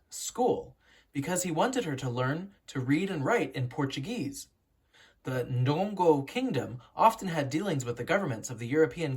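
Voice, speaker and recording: Expressive woman storyteller with a husky edge. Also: low voice. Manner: dramatic, deliberate storytelling with emphatic pauses. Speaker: teacher reading a picture book aloud. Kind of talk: expressive children's storybook narration.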